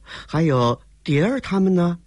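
A male storyteller voicing a proud, quick-tempered young gentleman who talks brusquely. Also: sits high, expressive, dry recording, voice pushed up. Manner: brusque, scoffing.

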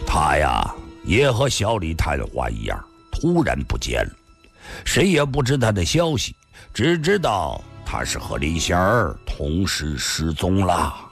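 A man's voice: deep voice, huge pitch swings, unhurried, gravelly, faint music behind, voice pushed down, heavily acted. A man voicing a weary, sly old male storyteller in an audiobook tale, sighing and drawing out his words. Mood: knowing.

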